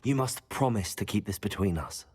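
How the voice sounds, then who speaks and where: An actor playing a calm new student wizard in a game, speaking in a measured, polite, reserved manner. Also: male voice, lowish voice.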